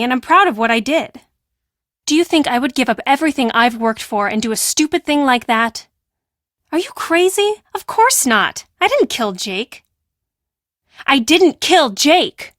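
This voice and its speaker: A woman playing a brash, defensive teenage girl who works as a high school hall monitor in a video game, with a sassy, dismissive and emphatic delivery. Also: animated, moderate pace, fairly bright tone, clean studio sound. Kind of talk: acted video game dialogue.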